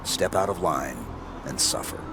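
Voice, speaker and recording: Deadpan supersoldier for a video game, super deep, guarded, few words. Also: male voice, a little slow. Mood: stoic, guarded. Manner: terse, deadpan. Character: stoic male veteran supersoldier, terse and guarded. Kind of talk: cinematic sci-fi game acting. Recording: voice under loud game music.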